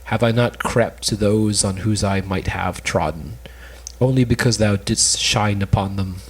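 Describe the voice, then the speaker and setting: A man's voice: lowish voice, unhurried, husky. A man playing a lovesick, melancholy suitor, earnestly declaiming in an amateur cold reading of an old stage play. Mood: pleading, earnest.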